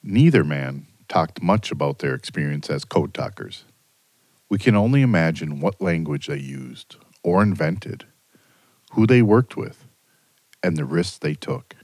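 A man's voice: a bass voice, animated, measured pace, darkish tone, dry recording. A man narrating a documentary, then reflecting in a casual way.

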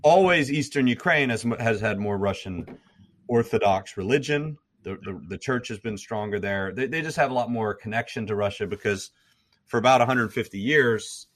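A man's voice: medium pitch, normal speed. Man explaining history with many restarts. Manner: lecturing, frequent restarts and repeated words. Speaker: guest, former troop commander and history professor. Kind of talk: explanatory interview talk.

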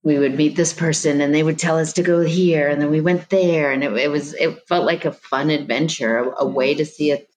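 A woman's voice: sits low, steady tone, brisk, few pauses, a little mellow, low-quality recording. A middle-aged woman, a guest on an interview, telling a family story in a reflective, searching way with frequent restarts. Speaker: guest, adoptee mother. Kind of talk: reflective conversation.

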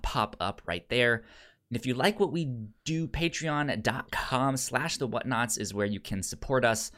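A man's voice: fairly low pitch, a bit bright. A man co-hosting a casual film podcast, stuttering and often repeating sounds and syllables as he talks. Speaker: podcast co-host.

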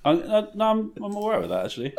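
A man's voice: sits high, wildly swooping pitch, quick pace, noisy background. A man on a quiz panel in casual banter, sweary and argumentative in jest.

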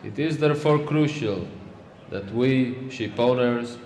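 An actor playing an old ship owner who gives a formal, measured banquet speech. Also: male voice, medium pitch, darkish tone, close to an everyday voice. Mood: solemn. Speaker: speaker at a banquet. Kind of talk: radio play acting. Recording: echoey hall, heard from a distance.

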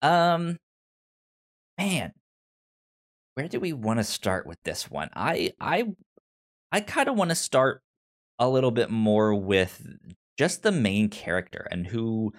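A man's voice: medium pitch, big pitch swings, slow pace, long pauses. A man hosting a podcast in a casual review discussion, often stammering and repeating words as he feels his way through a thought. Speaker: podcast host.

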